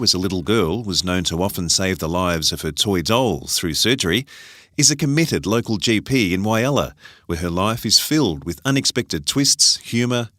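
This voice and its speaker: A man reading a scripted podcast introduction in a smooth, announcer-like way. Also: fairly low pitch, fairly bright tone, dry recording, clean studio sound. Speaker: podcast host.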